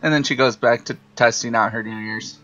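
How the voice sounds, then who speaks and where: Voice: mid-range voice, steady tone, brisk, fairly bright tone. Tabletop player on a dull lo-fi mic. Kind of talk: casual third-person roleplay narration. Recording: dull lo-fi mic, faint music behind. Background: faint music.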